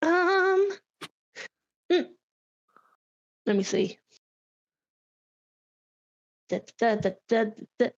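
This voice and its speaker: Woman tabletop player, high voice, unhurried, muttering asides, slightly dull lo-fi mic. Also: sing-song. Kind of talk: casual game chat.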